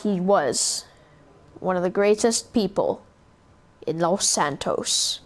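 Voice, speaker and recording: A woman hosting a gaming video, telling a game backstory in a slow, halting way. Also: on the low side. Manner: slow, halting storytelling narration. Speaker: gaming channel host.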